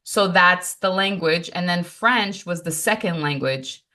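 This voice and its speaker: A woman from Morocco whose first language is Moroccan Arabic, telling a family story as a podcast co-host in an animated, emphatic way with frequent laughs. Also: fairly low pitch, unhurried. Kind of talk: casual storytelling chat.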